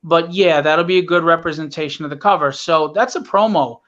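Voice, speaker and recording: A man's voice: fairly high pitch, a little fast, a bit bright, muffled recording. A man chatting casually as a guest about his own creative work, in an emphatic way with short repeated phrases. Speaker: comic book creator, guest. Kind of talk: casual chat promoting own book.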